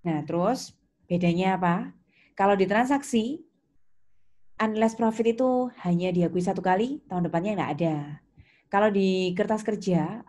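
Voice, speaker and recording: A woman's voice: medium pitch, dark tone. A woman with an Indonesian accent lecturing step by step in a didactic way, posing questions and answering them herself, with English technical terms mixed in. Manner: mixes English technical terms into her speech. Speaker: accounting lecturer. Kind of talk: online lecture explaining step by step.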